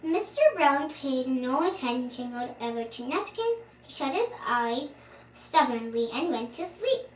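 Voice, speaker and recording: A child reading a storybook aloud for young listeners as host, in an expressive, playful manner. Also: high-pitched, a little slow. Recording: roomy, distant mic, faint noise.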